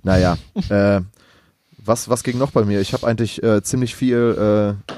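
A man's voice: lowish voice, fairly even intonation, moderate pace, dark tone, a little hiss or hum. A young man chatting casually on a podcast, telling rambling stories in slangy speech. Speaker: podcast co-host. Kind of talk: casual chat.

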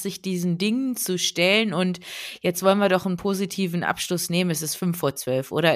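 A woman hosting a podcast interview, summing up and giving effusive thanks. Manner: warm effusive thanks, rises high in farewells. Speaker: podcast host.

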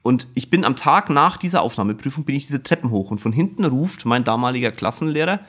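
A man talks straight to his listeners in a relaxed podcast monologue. His voice sits at an ordinary middle pitch. He is chatty, frank and self-mocking, lifting his voice as he gets into a story. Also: fast talker. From Kulmbach, Upper Franconia, Germany. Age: 40s. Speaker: podcast host. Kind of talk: casual personal storytelling monologue. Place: office.

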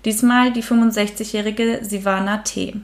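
A woman's voice: mid-range voice, steady tone, moderate pace. A woman hosting a true crime podcast, mixing casual chat with many fillers and a read-out case narration. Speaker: podcast host.

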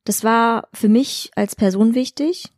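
A woman's voice: on the high side, a little slow, a little mellow, no room sound, clean studio sound. A woman from eastern Germany, an actress, talking candidly and colloquially in a casual interview. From Chemnitz, Germany.

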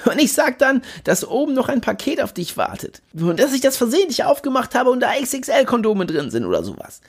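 A man's voice: very high voice, wildly swooping pitch, moderate pace, gravelly, breathy edge, voice pushed up, full character voice. A man reading aloud, voicing a pushy, boisterous young man who plans wild parties and schemes with overexcited energy. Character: brash pushy party-mad young man.

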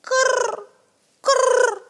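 A woman's voice: lively intonation, takes it slowly, fairly bright tone, exaggerated voice. A woman reading aloud and voicing a pair of wood pigeons with a rolling, cooing delivery. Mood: urgent. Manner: rolling "krrr" coos, high and airy. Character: cooing wood pigeons, small shrill birds.

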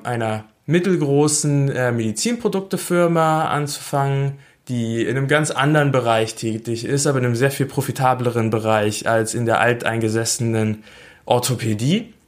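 A man's voice: medium pitch, moderate pace. A young man from Berlin talking casually about himself, with lots of hesitant fillers. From Berlin, Germany. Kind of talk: casual self-introduction.

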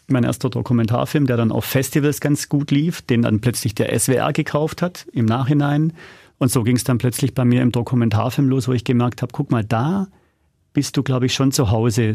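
A man with noticeable fry in an ordinary mid-range voice, recorded very dry. He talks as an interview guest, informal and anecdotal, like someone recounting his working life. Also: mid-range voice, dark tone, dry recording. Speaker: interview guest, audio drama and documentary producer. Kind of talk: conversational storytelling in an interview.

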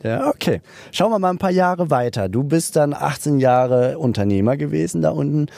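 A man's voice: on the high side, expressive, moderate pace, vocal fry, a little mellow. A man hosting an interview, asking questions and summing up his guest's answers, sometimes searching for words. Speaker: interviewer, host.

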